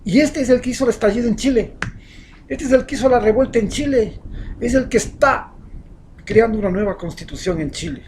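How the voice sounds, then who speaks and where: A man with an Ecuadorian accent delivering an impassioned, rhetorical opinion monologue as a social commentator, swinging between loud outbursts and hushed asides. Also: very high-pitched, fairly quick, a little hiss or hum.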